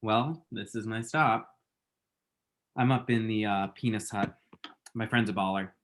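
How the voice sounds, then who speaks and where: A man's voice: lowish voice, steady tone, unhurried, a bit bright. An actor playing an awkward, wry, lovestruck young man in a screenplay table read, hesitant and self-deprecating. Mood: shy, wry.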